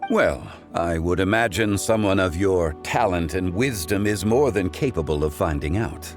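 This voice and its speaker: Game casting: courtly, wise gentleman, lowish voice with expressive swings, formal diction, long reverb. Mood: gently persuasive. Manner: formal, measured, erudite. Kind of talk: English video game voice acting. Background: game music.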